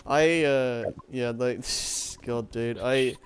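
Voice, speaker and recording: A man's voice: medium pitch, slow pace, clear, resonant tone. Man narrating a story, stumbling over words. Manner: stumbles and self-corrects while reading. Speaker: narrator of a streamed fan-fiction reading. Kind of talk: storybook narration with casual asides.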